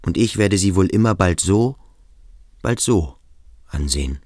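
An actor playing an introspective adolescent boarding school pupil in a radio play, halting and searching with quiet intensity. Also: male voice, low-pitched, animated, no room sound, studio quality. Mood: brooding, searching. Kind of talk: German radio play monologue.

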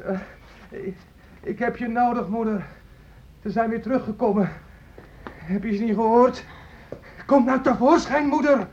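A man's voice: extremely high pitch, unhurried, long pauses, a little mellow. An actor playing an unhinged armed fugitive in a radio play, volatile, swinging between pleading and threats as he clings to his mother. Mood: pleading, urgent. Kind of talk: radio drama acting. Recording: dull, noisy old radio play recording.

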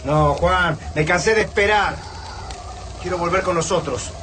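An actor playing a reckless, defiant fighter with a wry streak and an Argentine accent, bold and colloquial in a radio drama. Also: male voice, high-pitched, a little slow, sharp tone. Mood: wry, weary. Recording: distant from mic, noisy action scene.

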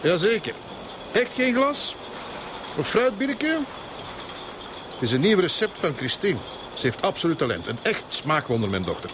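An actor playing a middle-aged Flemish brewery owner in a radio drama, expansive, frank and confiding. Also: male voice, fairly high pitch, big pitch swings, moderate pace, long pauses, background noise. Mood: jovial, hospitable. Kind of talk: Dutch-language radio drama acting.

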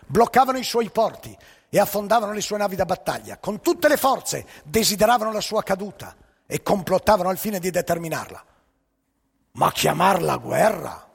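A man's voice: high voice, creaky, slightly rough, in a large hall. A man telling a story on stage, emphatic and ironic, with pointed rhetorical questions. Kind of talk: theatrical storytelling monologue.

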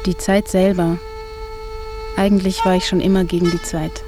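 A woman's voice: lowish voice, level tone, moderate pace, music in the background. A woman reading scripted lines as a narrator in a radio feature.

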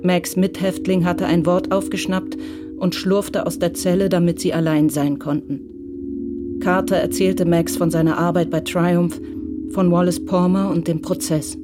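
A woman's voice: on the low side, level tone, measured pace. A woman narrating a scripted audio drama in Standard German. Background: music.

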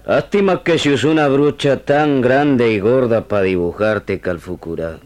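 An actor playing a wounded, frank gaucho sergeant from a frontier fort in a radio drama, with a rural Argentine accent. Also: male voice, mid-range voice, measured pace, darkish tone, close to an everyday voice. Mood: rueful. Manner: rustic, plain-spoken. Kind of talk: old radio drama acting.

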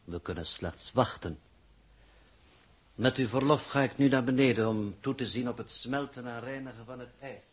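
A man acting a cold, superior old man, an alien in disguise, speaking formally and sardonically in a 1960s radio drama, with a husky voice. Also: medium pitch, normal speed, slight background noise, lightly acted. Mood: coolly superior. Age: older.

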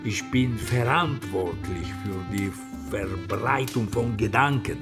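An actor in a radio drama playing a shrewd, persuasive travelling Italian bookseller, with an Italian accent and a theatrical, emphatic delivery. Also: male voice, lowish voice, slow. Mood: grandiose. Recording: studio radio drama with loud music behind.

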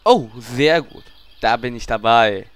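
Small male lecher creature, man acting, comic radio play: gleeful, leering, unhurried, dry close sound. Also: mid-range voice, no echo, natural voice. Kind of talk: parody audio drama acting.

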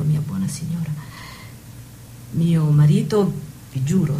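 A dutiful, anxious maidservant acted by a woman in a radio stage drama. Her medium-pitched voice is guarded and deferential toward her mistress. The recording carries some noise. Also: warm tone, natural voice. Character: deferential, anxious lady's maid, dutiful wife.

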